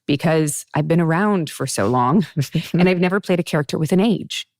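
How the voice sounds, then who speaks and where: A woman's voice: deep voice, moderate pace, darkish tone, no echo. A woman hosting a casual talk show, chatty and emphatic, thinking aloud as she talks. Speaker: podcast host. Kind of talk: casual interview chat.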